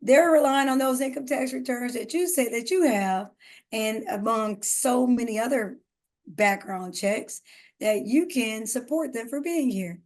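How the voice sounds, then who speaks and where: A woman's voice: on the high side, unhurried, a little mellow. A woman co-hosting a podcast, talking earnestly and giving emphatic advice in a casual conversation. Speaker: podcast co-host.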